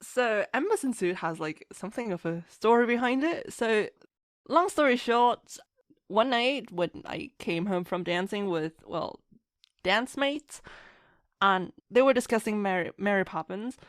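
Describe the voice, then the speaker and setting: A woman chatting casually as a podcast guest, telling stories in an animated way and acting out quotes. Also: mid-range voice, measured pace, no echo, clean studio sound. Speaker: podcast guest, fan musician and writer. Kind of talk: casual chat.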